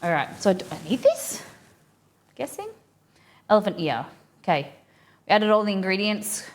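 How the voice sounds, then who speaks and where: A woman's voice: mid-range voice, very animated, normal speed. A woman with an Australian accent, hosting a casual cooking live stream and chatting informally with viewers as she thinks aloud.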